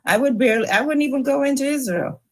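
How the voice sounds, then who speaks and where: A woman with a Trinidadian accent, co-hosting a casual news chat with quick laughs and playful asides. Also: high-pitched. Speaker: podcast co-host.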